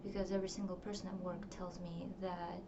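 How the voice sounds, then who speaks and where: A woman's voice: on the low side, little pitch movement, a little fast. A young woman whose first language is Russian, talking in a rambling, reflective way with many fillers, recording a personal diary vlog. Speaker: vlogger. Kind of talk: casual diary vlog to camera. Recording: distant, dull, noisy home recording.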